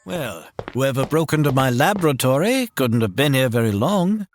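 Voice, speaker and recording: A man's voice: mid-range voice, very animated, normal speed, faint music behind, lightly acted. A man playing a fussy older scientist. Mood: fretful. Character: fussy older male scientist Doctor Huer.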